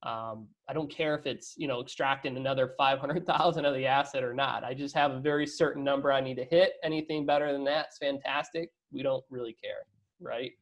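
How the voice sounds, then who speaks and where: A man's voice: mid-range voice, fairly even intonation, fairly quick. A man speaking as an investor guest in a casual business interview, conversational, often adding "you know" and "right?" tags.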